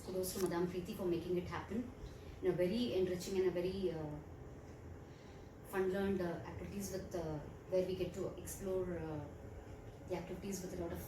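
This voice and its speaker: A woman from India, a French teacher, speaking in a reflective and halting way with fillers. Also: lowish voice. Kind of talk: recorded testimonial. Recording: echoey, distant mic with background noise.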